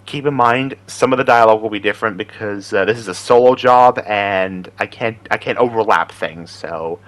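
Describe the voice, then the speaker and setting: A man's voice: on the low side, normal speed, a bit bright, no room sound. A man hosting as an amateur voice impressionist, chatting casually to viewers in a rambling, self-deprecating way. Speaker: amateur voice impressionist and video host.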